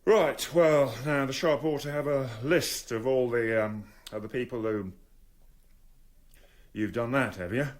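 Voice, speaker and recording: A man acting a dogged, sarcastic English police inspector in a radio drama, questioning with measured, mock-polite pressure. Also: mid-range voice, natural voice. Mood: brisk, gruff.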